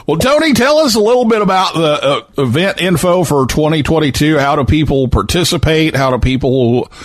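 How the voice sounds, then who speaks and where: A man's voice: on the high side, expressive, fairly quick, no echo. A man hosting a show in a casual, joking, chatty style. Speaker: podcast host. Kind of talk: casual chat.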